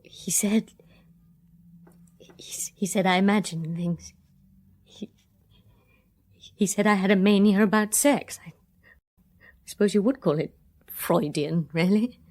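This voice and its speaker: An actress playing a bitter, guarded young woman in a radio drama, with an English accent and a hesitant, halting way of confiding. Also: mid-range voice, darkish tone. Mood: hurt, ashamed. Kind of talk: full-cast radio drama acting.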